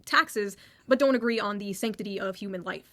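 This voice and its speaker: A woman's voice: medium pitch, brisk, sharp tone. An American woman giving opinionated, conversational political commentary in a plain-spoken way.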